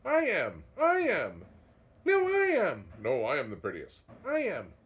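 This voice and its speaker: A man's voice: fairly high pitch, huge pitch swings, measured pace, fairly bright tone, husky, lo-fi sound, voice pushed up, exaggerated voice. A man voicing small pleading and boastful kittens with short, mewing, insistent cries in a storybook reading. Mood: boastful, squabbling.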